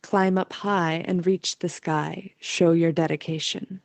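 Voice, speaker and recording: A woman's voice: on the low side, fairly even intonation, slow pace, creaky, a little mellow, dry recording. A woman reading scripted story narration as the narrator of an amateur audio drama.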